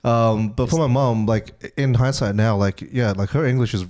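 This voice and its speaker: A man's voice: mid-range voice, moderate pace, darkish tone. A young man, born in Myanmar, talking in a reflective, hesitant way as a podcast co-host. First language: Burmese. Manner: reflective, hesitant, many restarts. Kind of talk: casual chat.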